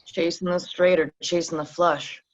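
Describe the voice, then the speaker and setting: A woman reads a cool, dangerous gang boss who runs a card table, keeping her voice low and even and dropping to soft, threatening whispers. The audio is dull and lo-fi. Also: normal speed, natural voice. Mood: menacing. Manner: calm, quietly threatening, drops to whispers. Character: cool menacing female card-game crime boss. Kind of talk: pilot table read.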